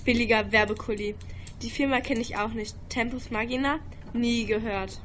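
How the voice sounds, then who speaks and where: A woman's voice: fairly high pitch, fairly even intonation, normal speed, fairly bright tone, a little hiss or hum, natural voice. A teenage girl acting a curious, matter-of-fact schoolgirl in an amateur radio play. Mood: casual, curious.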